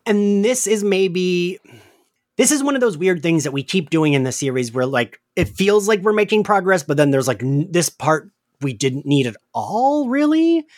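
A man's voice: sits high, very animated, moderate pace, darkish tone, dry recording, studio quality. A man co-hosting a casual recap podcast, chatty and giggly, peppering his talk with "like". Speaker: podcast co-host.

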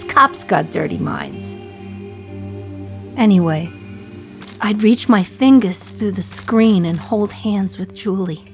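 A condemned woman prisoner in her thirties, played by an actress confides in the listener with a wry, defiant edge. Her voice sits mid-range and conversational. Faint music plays under this radio monologue. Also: mid-range voice.

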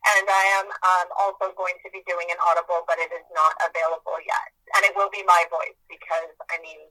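A woman's voice: lowish voice, level tone, fairly quick, sharp tone, low-quality recording. A woman guest in a podcast interview, speaking earnestly and emphatically and repeating her key phrases. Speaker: guest, author and healer.

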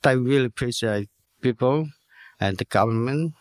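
A man's voice: mid-range voice, normal speed, a little mellow, no echo. A man from Vietnam chatting casually as a guest, in loose, clipped English. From Vietnam, came to Australia as a small boy. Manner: loose, clipped non-native grammar. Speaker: refugee podcast guest. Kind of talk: casual interview chat.

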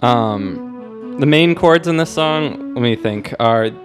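A man's voice: medium pitch, lively intonation, slow. A man hosting a podcast, chatting casually and informally about music in slangy language. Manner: informal slangy talk, sometimes sings or hums snatches to illustrate. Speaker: podcast host.

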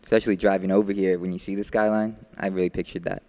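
A man's voice: low-pitched, level tone, quick pace, dark tone. A man giving his opinions in casual chat as a guest actor on a podcast.

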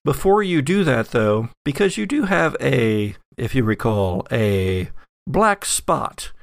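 A man's voice: mid-range voice, sing-song, slow, gravelly, no echo, clean studio sound. A man narrating as game master and podcast host, shifting between theatrical storytelling and casual asides. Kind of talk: recapping and running a tabletop game.

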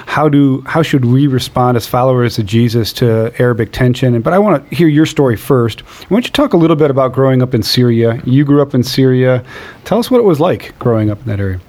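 A man's voice: mid-range voice, animated, fast talker, a little mellow. A man hosting a podcast, introducing a guest in an easygoing way with occasional fillers. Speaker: podcast host. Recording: studio with faint music.

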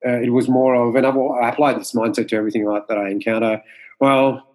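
A man in his forties from Mauritius, whose first language is Creole, giving thoughtful interview answers with many pauses and fillers. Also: mid-range voice, little pitch movement, darkish tone, poor audio. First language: French Creole. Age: 40s. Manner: measured, with many fillers and restarts. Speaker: martial arts instructor, guest.

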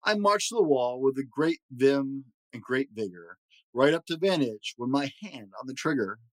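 A man's voice: mid-range voice, animated, normal speed, dry recording, low-quality recording. A man hosting a read-aloud, telling a rhyming children's story in a theatrical, sing-song way. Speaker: host reading a children's book aloud.

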